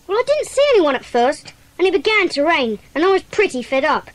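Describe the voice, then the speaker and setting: A woman's voice: extremely high pitch, sing-song, fairly quick, no echo, rough recording. A woman playing an eager, earnest schoolboy sleuth who gives detailed reports in a children's audio drama. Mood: earnest, puzzled.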